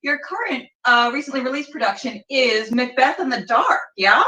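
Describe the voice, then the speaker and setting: A woman hosts a show informally in a high voice that swings up and down. Her delivery is casual, excitable and exclamatory. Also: moderate pace. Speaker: show host. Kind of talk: casual, excitable hosting and interviewing.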